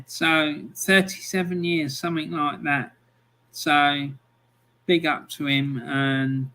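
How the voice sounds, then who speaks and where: Slow, bright-voiced football stream host. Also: male voice, on the high side. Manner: relaxed and chatty, with many ums. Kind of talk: casual live-stream chat, reading out scores and viewer comments.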